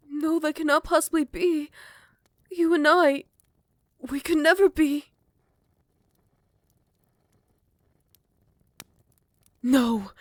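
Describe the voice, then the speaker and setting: A woman voicing a proud, centuries-old female vampire queen in an audio roleplay. She shifts between close, hushed whispered storytelling and sharp, scornful retorts, recorded on a close microphone. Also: sits high, dry recording. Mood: indignant. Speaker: voice actor. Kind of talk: ASMR roleplay.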